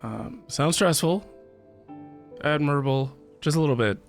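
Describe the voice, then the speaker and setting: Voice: medium pitch, measured pace, creaky. A livestreamer reacting casually to a game, with hesitant, wry asides. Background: game music.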